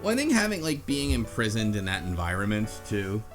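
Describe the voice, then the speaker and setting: A man's voice: fairly low pitch, big pitch swings, brisk, music in the background. A man co-hosting a podcast, chatting casually and responding to the discussion. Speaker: book club podcast co-host. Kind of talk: casual chat.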